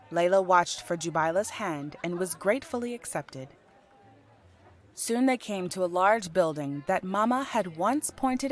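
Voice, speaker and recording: Female audiobook narrator, medium pitch, expressive storybook delivery, taking her time. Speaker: author and narrator.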